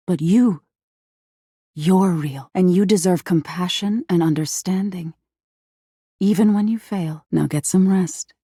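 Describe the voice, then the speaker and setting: Female nightmare spirit, game character, deep mellow register, breathy husk, hushed, slow, ominous yet tender, deliberate dramatic narration. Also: no echo, studio quality. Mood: tender, consoling. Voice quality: husky, breathy, often near a whisper. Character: ominous, tender female living nightmare, a dreamy existential dread.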